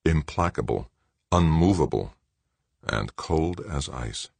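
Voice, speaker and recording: A man's voice: a bass voice, lively intonation, slow pace, creaky, a little mellow, dry recording, exaggerated voice. A man voicing a skeptical executive's inner thoughts in an audiobook, musing in a wry, inward way. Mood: cool appraisal. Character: brooding, skeptical executive man thinking to himself.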